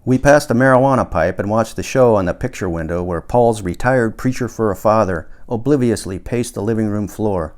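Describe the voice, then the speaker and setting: Low-voiced older man narrating an audiobook. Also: a little mellow. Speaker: author reading own book. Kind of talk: first-person audiobook narration.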